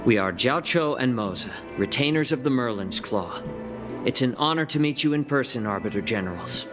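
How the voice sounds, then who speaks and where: An actor playing a polite, unruffled retainer and envoy in a video game, with a formal, suave manner. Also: male voice, medium pitch, expressive, normal speed, over music, lightly acted.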